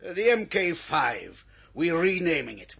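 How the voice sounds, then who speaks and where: An actor playing a blustering, folksy older British politician in an old radio drama, raspy and rambling in manner. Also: male voice, a little high, sing-song, a little slow, a bit bright, full character voice. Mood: needling. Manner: hesitant, rambling, self-deprecating bluster.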